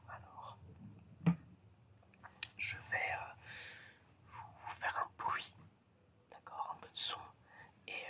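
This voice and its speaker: A person whispering in a breathy, almost voiceless way in an ASMR roleplay as an examiner, halting and repeating short phrases. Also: sharp tone. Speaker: ASMR creator playing an examiner giving a five senses test.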